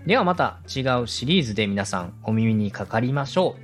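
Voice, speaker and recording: Low-voiced man hosting a podcast. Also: sing-song, a little fast. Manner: polite, encouraging. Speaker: podcast host and radio director. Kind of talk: podcast interview and coaching. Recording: music playing behind the voice. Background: background music.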